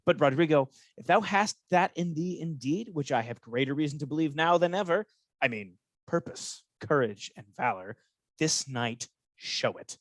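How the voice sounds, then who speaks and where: A man playing a smooth-talking, scheming soldier in a Shakespearean radio play, persuasive and placating. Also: fairly high pitch, expressive, moderate pace, dry recording, lightly acted.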